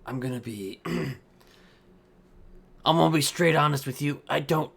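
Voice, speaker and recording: A man improvising in-character roleplay chat, speaking haltingly with trailing pauses. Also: fairly high pitch, vocal fry, a bit bright, slightly rough. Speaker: streamer playing a roleplay character.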